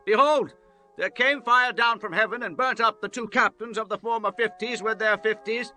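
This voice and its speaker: An actor playing earnest, deferential biblical servants and disciples, speaking formal archaic lines in a dramatized scripture reading. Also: male voice, high voice, normal speed, bright, forceful tone, soft background music. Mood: pleading. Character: earnest, deferential men: messengers, pleading captain, loyal disciple.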